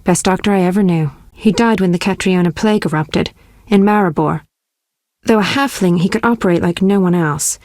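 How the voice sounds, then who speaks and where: An actress playing a warm, witty young female medic in scripted video game dialogue, speaking in a conversational, lightly teasing way. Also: fairly low pitch, a little fast, no echo, studio quality, natural voice. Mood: somber, reflective. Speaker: video game character voice actor.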